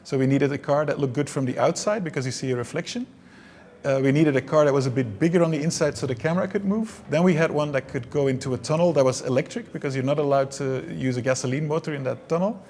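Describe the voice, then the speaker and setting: Man, gravelly voice, from Belgium, Dutch native speaker, stop-start interview answers. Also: a little high, fast, a little mellow, slight background noise. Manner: hesitant, many restarts and fillers. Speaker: film director.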